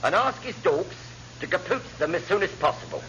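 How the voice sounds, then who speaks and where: A man's voice: sits high, expressive, fairly quick, pushed and bright, exaggerated voice. An English man playing a crafty, cheeky chief petty officer, mock-humble and long-winded, in a classic radio sitcom. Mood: sly. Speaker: actor in radio comedy. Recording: muffled, noisy old radio recording.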